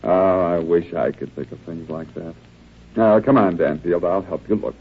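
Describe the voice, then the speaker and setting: A man's voice: low voice, moderate pace, noisy background. An actor playing a flippant, brash, wisecracking young man in a 1940s radio drama. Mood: surprised, sardonic. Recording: muffled, narrow-band vintage radio recording.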